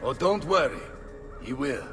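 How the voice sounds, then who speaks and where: Music sits behind a reverberant game cutscene voice. It is a theatrical Italian mercenary captain, warm-hearted and flamboyant, whose pitch leaps and drops dramatically as he takes his time over the words. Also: very animated, a little slow. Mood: confident scheming. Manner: flamboyant, dramatic, swinging from exclamations to hushed words. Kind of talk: video game cutscene acting.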